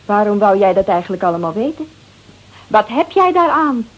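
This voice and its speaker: A woman's voice: medium pitch, moderate pace, lo-fi sound. A woman playing a brash, bored, flippant housewife in a Dutch radio drama, slangy and gossipy with a Netherlands accent. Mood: mock regretful. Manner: slangy, gossipy, theatrically expressive.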